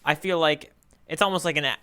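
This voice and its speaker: A man's voice: fairly high pitch, fairly even intonation, fast, bright, forceful tone, clear, resonant tone. A man co-hosting a podcast in a casual, rambling, playful way. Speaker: podcast co-host. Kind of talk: casual banter about manga.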